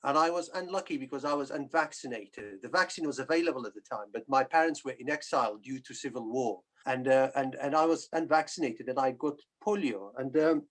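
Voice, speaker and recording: A middle-aged man from northern Iraq giving a personal account on a webinar, speaking in a halting, hesitant way. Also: medium pitch. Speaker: guest speaker, physician and polio survivor.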